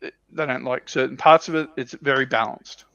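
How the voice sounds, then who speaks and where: A man giving his opinions in casual, halting chat as a panelist on a hobby livestream, often rephrasing as he goes. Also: mid-range voice, fairly even intonation, gravelly, sharp tone, no room sound, dull audio. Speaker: livestream panelist on a tabletop wargaming show.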